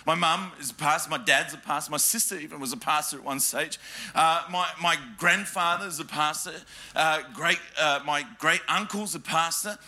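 An Australian man preaching to a church congregation. His voice sits high and rings very bright. He throws questions at the crowd and mixes jokes into his stories. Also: moderate pace. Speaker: pastor. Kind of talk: sermon with personal stories. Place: church hall before a congregation.

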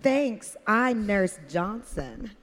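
A woman's voice: mid-range voice, big pitch swings, drawn-out words, crowd noise. A woman playing a naive, agreeable lady in improvised radio play comedy, polite and eager but hesitant.

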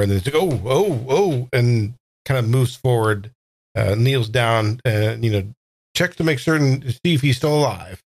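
A man who is a player in a tabletop game, casually describing his character's actions in short, halting phrases. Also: medium pitch, rough recording.

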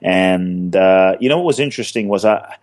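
Earnest man with a lowish voice. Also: lively intonation, moderate pace, a little mellow. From born in Ghana, grew up in London. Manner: earnest, repeats phrases for emphasis. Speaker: guest, speaker and teacher. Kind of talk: personal story in a podcast interview.

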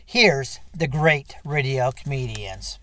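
A man's voice: medium pitch, expressive, slow pace. A man reading a scripted introduction as a radio show host. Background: faint music.

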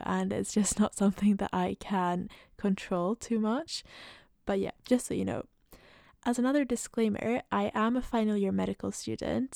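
A woman's voice: medium pitch, creaky, dark tone, dry recording, studio quality. A woman in her twenties from Central Europe with a mostly Scottish, slightly international accent, speaking informally and explaining things as a solo podcast host. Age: 20s. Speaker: podcast host, medical student.

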